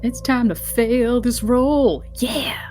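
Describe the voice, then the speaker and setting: A woman's voice: on the high side, steady tone, slow pace, darkish tone. A woman playing a tabletop role-playing game, chatting in and out of character in a wry, wordy way. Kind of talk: casual in-character tabletop chat. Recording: clear music behind the voice. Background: background music.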